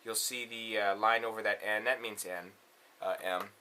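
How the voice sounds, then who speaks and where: A man's voice: lowish voice, steady tone, normal speed, bright, forceful tone, slight background noise. A man presenting a casual step-by-step synthesizer tutorial in an instructional way, often checking in with the listener.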